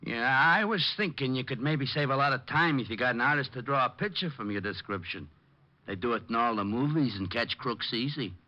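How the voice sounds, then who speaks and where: An actor playing a dim-witted, whiny American police sergeant, slangy and good-natured, in old radio comedy style. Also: male voice, mid-range voice, lively intonation, natural voice. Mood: eager. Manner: slangy, slow-witted, sulky. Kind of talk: 1950s radio comedy-detective acting. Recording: dull vintage radio recording.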